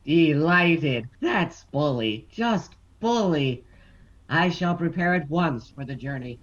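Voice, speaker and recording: A man's voice: expressive, takes it slowly, a bit bright, a little hiss or hum. An actor playing a cheerful grown man who believes he is a president, in an amateur audio drama. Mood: hearty. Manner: booming, cheerful declarations. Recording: dull lo-fi recording with music.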